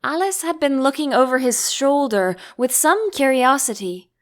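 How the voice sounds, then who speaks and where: Animated, high-voiced woman reading a storybook. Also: moderate pace. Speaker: audiobook narrator. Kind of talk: expressive storybook narration.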